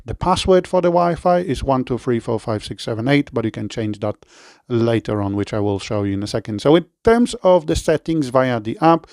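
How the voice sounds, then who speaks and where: A man with a Polish accent giving a relaxed product review and installation walkthrough, with frequent fillers and self-corrections. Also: mid-range voice, dry recording, clean studio sound.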